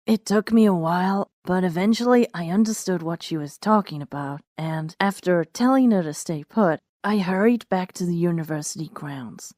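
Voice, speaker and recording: A woman reads a first-person story as its narrator. Her voice sits fairly low for a woman, and the recording is close and dry, with no room sound. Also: normal speed, hardly any pauses, darkish tone, dry recording. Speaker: narrator and host of a fan fiction reading series.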